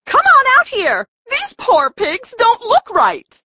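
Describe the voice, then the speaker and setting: A woman playing a concerned, bossy farmer's wife in a children's audiobook, brisk and folksy. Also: very high voice, huge pitch swings, dry recording, lo-fi sound, full character voice. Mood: alarmed. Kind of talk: full-cast children's audiobook acting.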